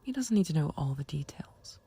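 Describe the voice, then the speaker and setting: Woman acting as smug female villain, low mellow voice, breathy, teasing, condescending, flirtatious, unhurried, ASMR roleplay. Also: very animated, exaggerated voice. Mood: teasing, reluctant bargaining. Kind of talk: ASMR roleplay audio drama.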